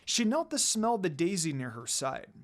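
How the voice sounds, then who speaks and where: A man reading fan fiction aloud in an expressive storytelling style. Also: high voice, moderate pace.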